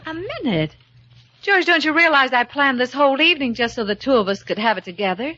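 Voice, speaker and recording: A charming, proud lady politician in an old radio comedy. Her voice is forward and bright, with expressive swoops and a husky edge. The vintage recording sounds muffled. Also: sits high, brisk, exaggerated voice. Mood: coaxing, flirtatious. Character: charming, flirtatious yet proud lady mayoral candidate.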